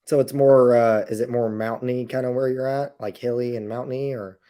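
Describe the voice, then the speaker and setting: A man's voice: medium pitch, steady tone, a little fast, warm tone. A male podcast host who is losing his voice, asking easygoing questions in casual chat.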